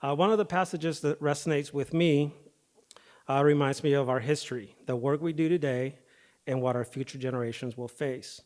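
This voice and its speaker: A man speaking into a podium microphone at an awards ceremony. His voice sounds slightly affected by recent dental work, and his pitch sits at an ordinary middle level. He reads a prepared speech in a steady, earnest way. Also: normal speed. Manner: hesitant start with fillers, then steady reading of a prepared speech. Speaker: firefighter paramedic and foundation president.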